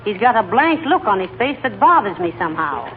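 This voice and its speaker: An actress playing a patient, dry-witted wife in a 1940s radio comedy, speaking calmly with a teasing edge. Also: female voice, medium pitch, brisk, a little hiss or hum, lightly acted. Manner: calm, drily teasing. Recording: tinny old radio broadcast with audience.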